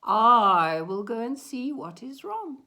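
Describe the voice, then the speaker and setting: A woman voicing a helpful, concerned mother cow with drawn-out moos in a children's story. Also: on the high side, very animated, bright, forceful tone, pitched above the performer's own voice, full character voice.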